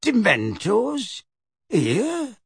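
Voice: medium pitch, huge pitch swings, slow, husky, no echo, clean studio sound, heavily acted. A narrator voicing a shifty, cowardly middle-aged male petty crook who mumbles and stammers. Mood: sheepish. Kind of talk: audiobook character voice.

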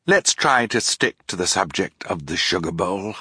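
A man's voice: low voice, animated, moderate pace, pushed and bright, husky, dry recording, studio quality, full character voice. A man doing a level-headed teenage girl. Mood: calm.

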